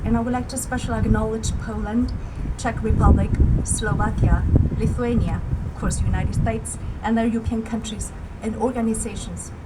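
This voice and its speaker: A woman with a somewhat high voice delivers a prepared speech at an outdoor solidarity rally. Her delivery is measured and emphatic, building to rallying calls. The recording is noisy, with traffic clearly audible around her. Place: outdoor public gathering.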